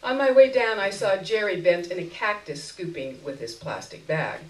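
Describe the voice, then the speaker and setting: A woman reading literary fiction aloud at a live event, expressively and with wry, deliberate phrasing. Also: on the low side, big pitch swings, a little hiss or hum. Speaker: story reader. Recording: echoey room, miked from a distance.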